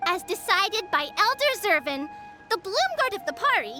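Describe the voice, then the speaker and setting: Squeaky, very high, haughty little spirit. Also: female voice, very animated, normal speed. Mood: proud. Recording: game music behind the voice.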